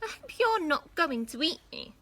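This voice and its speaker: A woman's voice: extremely high pitch, very animated, moderate pace, a bit bright, no room sound, voice pushed up, full character voice. A woman voicing a curious, nervous young girl in a children's storybook, full of questions and exclamations. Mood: worried.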